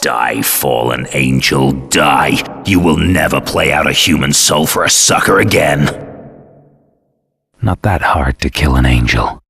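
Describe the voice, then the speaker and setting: A very deep, brooding antihero. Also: male voice, creaky, fairly bright tone, breathy edge, dry recording, full character voice. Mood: sardonic menace. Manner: slow, brooding, sardonic. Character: gritty, bitter, foul-mouthed male mortal antihero seeking redemption. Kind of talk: brooding first-person cutscene narration and dialogue. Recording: dramatic music behind the voice.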